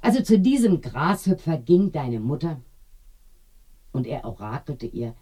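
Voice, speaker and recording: A garrulous older village woman in a radio play. Her husky, mellow voice swings up and down as she wanders through confiding memories. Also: low voice, very animated, measured pace, full character voice. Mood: chatty, confiding. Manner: garrulous, digressing storyteller. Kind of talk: German radio play acting.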